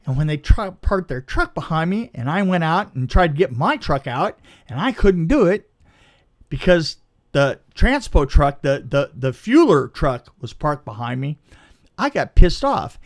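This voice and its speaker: A middle-aged man telling a casual anecdote in an emphatic, halting way, repeating phrases and checking in with the listener. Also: on the high side, sing-song. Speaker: podcast guest, film crew veteran.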